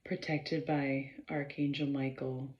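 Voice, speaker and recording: A woman leading a guided meditation in a measured, halting way, pausing between short phrases and often clearing her throat. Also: rumbling low, level tone. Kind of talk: slow guided meditation instruction. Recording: roomy, slightly dull sound.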